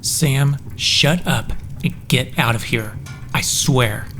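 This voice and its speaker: A man acts out a brooding, jealous man who holds dangerous powers. His medium-pitched voice is guarded and tense, quick to turn defensive. It plays as audio drama over faint music. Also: fairly bright tone. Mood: hostile, guarded.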